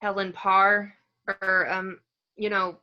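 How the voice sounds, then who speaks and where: A woman playing an earnest superhero housewife on a phone call in a script read-through, halting and correcting herself. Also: mid-range voice, flat delivery, pushed and bright, smooth. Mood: hesitant, earnest. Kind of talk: online table read of a film script.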